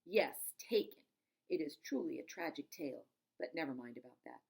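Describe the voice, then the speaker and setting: A woman reading a storybook aloud in an expressive, emphatic narrating style. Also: low-pitched, moderate pace, gravelly, breathy edge. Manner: dramatic, emphatic, stops to explain words. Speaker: storybook reader.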